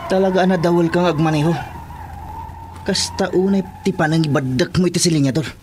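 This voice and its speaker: An actor playing a grumbling, nervous henchman in an Ilocano radio drama, with a husky, strained voice. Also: a little high, fairly even intonation, fairly quick, music in the background, lightly acted. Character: grumbling, nervous male henchman riding as passenger.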